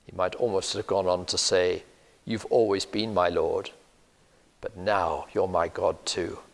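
An older man with a British accent preaching a measured, earnest sermon, recorded in a church on a lapel mic. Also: low-pitched, steady tone, creaky. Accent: British English. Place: church sanctuary, indoors. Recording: long church reverb.

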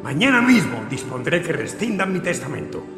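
Angry old uncle ranting in a radio play, creaky, swingy voice, big echo. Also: male voice, a little high, big pitch swings, normal speed. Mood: outraged. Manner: indignant, declamatory. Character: furious, domineering wealthy old uncle. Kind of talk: audio drama acting. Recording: long hall echo, music behind.

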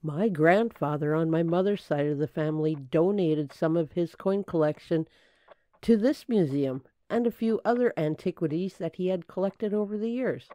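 Woman as mellow, earnest detective's assistant. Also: on the low side, moderate pace, no echo, natural voice. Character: Penny, helpful knowledgeable female detective's assistant. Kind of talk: old-time radio drama acting.

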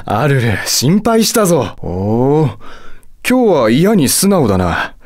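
A man's voice: mid-range voice, huge pitch swings, slow pace, exaggerated voice. A man voicing a haughty, hot-tempered, lovestruck demon lord in a video game, with a theatrical, condescending delivery. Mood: lovestruck, excited. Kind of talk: Japanese video game character voice acting.

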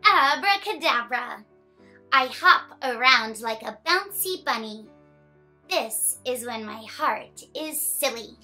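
A young woman hosting children's story time, reading a picture book aloud in an animated, expressive way. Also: on the high side, slow pace, soft background music. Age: young adult.

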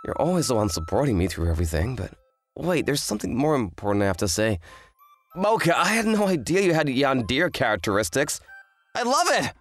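A woman voices a kind, earnest teenage anime boy, lowish for a female voice and very lively in intonation. He is an excitable, exclamatory type from a romantic comedy dub, with music behind. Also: a little fast, full character voice. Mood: bewildered, then delighted, tender.

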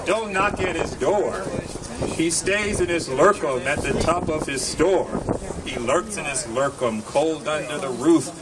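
A middle-aged man reading a children's story in rhyme aloud at an outdoor gathering, with deliberate, measured delivery. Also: on the high side. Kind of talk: live public storytime reading, rhyming verse. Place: outdoor public gathering in a park. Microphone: microphone on a stand, recorded by nearby camera. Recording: noisy outdoor recording from a distance, wind and traffic.

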